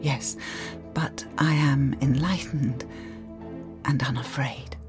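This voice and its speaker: Weary woman near death, very low breathy solemn radio drama voice, music behind. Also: steady tone, slow pace. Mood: solemn, intense. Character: weary, enlightened woman facing death, growing old. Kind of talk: audio drama acting. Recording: music under the voice. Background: dramatic trailer music.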